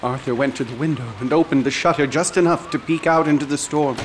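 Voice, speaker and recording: An actor playing a shaken, obsessive investigator who narrates a radio drama in an earnest, urgent and insistent way. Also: male voice, a little high, steady tone, quick pace, noisy background, lightly acted. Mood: recounting. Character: shaken young male investigator, obsessive and haunted. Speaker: narrator and investigator recounting events. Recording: scored with clear background music.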